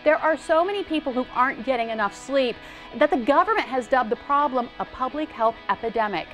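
A middle-aged woman hosts a daytime TV show, her fairly high voice swinging up and down expressively. Light music plays under her in the studio. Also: animated, brisk, fairly bright tone. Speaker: co-host. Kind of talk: TV magazine show hosting. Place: TV studio set.

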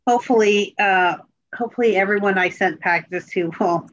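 A woman's voice: medium pitch, normal speed. Woman on a dull-sounding video call. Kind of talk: casual chat on a group video call. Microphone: computer on a video call.

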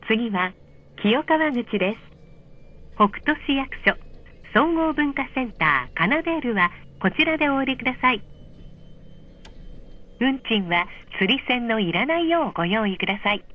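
High-voiced woman announcer over muffled train speaker. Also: very animated. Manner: polite, measured, clearly enunciated. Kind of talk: scripted automated train announcement.

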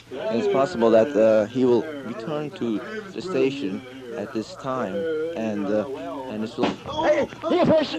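A man's voice: medium pitch, rough recording. A young man improvising mock news reporting, often repeating himself. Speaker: skit announcer and reporter.

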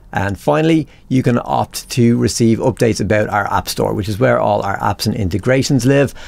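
A man narrating an instructional software screencast in a casual, conversational, explanatory way, recorded as a close voice-over. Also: lowish voice, gravelly. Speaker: software tutorial presenter.

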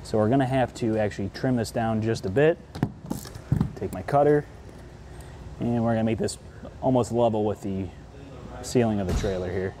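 A man's voice: lowish voice, normal speed, long pauses, noisy background. A man in his thirties giving a casual how-to demonstration, talking conversationally as he works with his hands on a workshop floor. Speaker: product installation presenter. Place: large indoor workshop floor.

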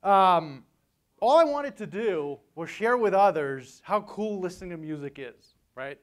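Male seminar speaker, 40s, clear resonant voice on the higher side, conversational and joking. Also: lively intonation, unhurried. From Vienna, Austria. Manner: conversational, joking, often tags sentences with "right?". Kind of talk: informal conference talk. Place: hotel meeting room, on stage at a lectern. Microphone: lapel mic.

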